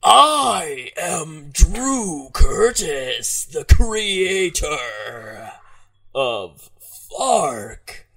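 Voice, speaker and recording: A booming, tyrannical lord of darkness from a comic storybook. The voice is rough and husky, full of gravelly creak. Every pompous proclamation is dragged out very slowly, heavy with menace. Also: male voice, big pitch swings, pushed and bright, heavily acted. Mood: grandiose. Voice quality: gravelly, strained, raspy. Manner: bellowing, drawn-out, pompous proclamations. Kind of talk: hammy comic story read-aloud.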